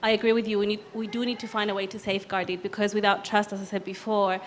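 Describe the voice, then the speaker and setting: A woman with a Cypriot Greek accent speaks as a panelist in a conference hall, her pitch in the ordinary middle range. She talks in loose, quick bursts, thinking aloud with fillers. A faint hum of the room lies behind her. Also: little pitch movement. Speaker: panelist, business consultant.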